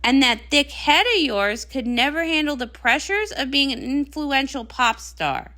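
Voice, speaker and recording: Woman voicing an earnest, stressed pony princess, bright-toned. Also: high-pitched, sing-song, a little slow, exaggerated voice. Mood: defensive. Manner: apologetic, defensive. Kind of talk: comic read-along voice acting.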